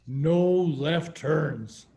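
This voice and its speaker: A man's voice: fairly high pitch, drawn-out words, gravelly, warm tone, heavily acted. A man reading the part of a cheerfully stubborn elderly father in his nineties, in a folksy, patient way over a video call. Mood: matter-of-fact.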